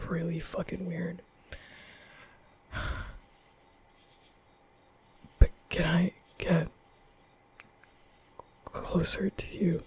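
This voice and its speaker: A young man playing an awkward, nervous vampire in an ASMR roleplay, stammering and muttering in breathy whispers. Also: a little high, a little mellow, a little hiss or hum. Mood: awkward, hesitant. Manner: halting, stammering, muttering to himself. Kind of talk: ASMR roleplay audio drama.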